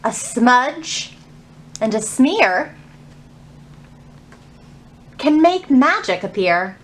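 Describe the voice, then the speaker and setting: Slow, high-pitched woman presenting children's storytime. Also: very animated. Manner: simple, instructive phrasing with questions to young listeners. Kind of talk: children's storytime, teaching and reading aloud to young kids.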